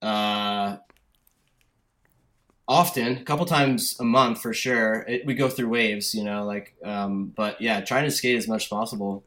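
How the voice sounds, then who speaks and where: A man's voice: on the low side, fairly even intonation, unhurried, a bit bright. A man in his thirties from Connecticut, talking casually about his own life as a podcast guest. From Connecticut, United States. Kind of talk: casual chat, personal storytelling.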